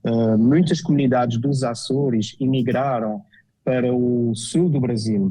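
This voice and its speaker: A man's voice: medium pitch, fairly even intonation, unhurried, dark tone, breathy edge, rough recording. A man from the Azores with an Azorean Portuguese accent, a guest architect chatting casually in an interview, with frequent fillers and restarts. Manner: hesitant, with many fillers and restarts.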